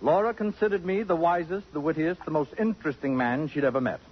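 An actor playing a vain, erudite society wit in a 1950s radio drama, speaking in a pompous, precise, sardonic way. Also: male voice, a little high, fast talker, a little hiss or hum, natural voice. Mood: smug. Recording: dull, worn 1950s radio recording.

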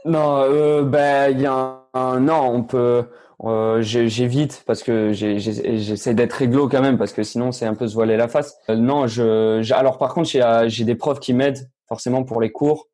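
Jeune homme hésitant à la voix sombre. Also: male voice, mid-range voice, fairly even intonation, normal speed, hardly any pauses, mellow. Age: teens. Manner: hesitant, many fillers and restarts. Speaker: young tennis player. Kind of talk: casual interview answers.

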